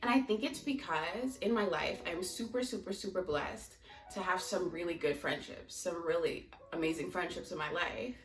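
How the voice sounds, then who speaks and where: A woman's voice: fairly low pitch, normal speed. Young American woman chatting in an echoey room. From United States (Maryland), teens in Mozambique. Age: young adult. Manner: chatty, candid, rambling. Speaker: vlogger. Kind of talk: casual chat to camera. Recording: echoey room, distant mic, music behind.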